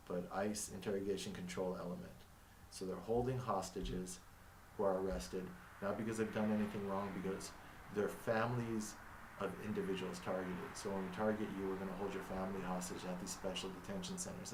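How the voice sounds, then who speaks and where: A man whose first language is Arabic, thinking aloud in a reflective monologue, halting and restarting as he reads from notes. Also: low voice, darkish tone, recorded from a distance, a little hiss or hum. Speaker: vlogger narrating his own story. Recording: echoey room, mic set back.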